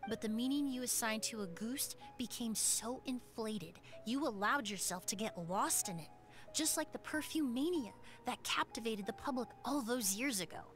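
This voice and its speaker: An actress voicing a calm, composed young woman perfumer who lays out the case in a video game, over game music. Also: on the high side, moderate pace, close to an everyday voice.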